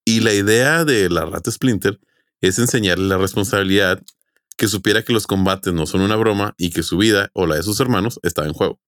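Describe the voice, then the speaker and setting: A man's voice: lowish voice, fairly quick, fairly bright tone, no echo, clean studio sound. A man with a Mexican accent co-hosting a podcast, telling things casually with slang and a teasing manner. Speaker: podcast co-host. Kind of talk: casual storytelling.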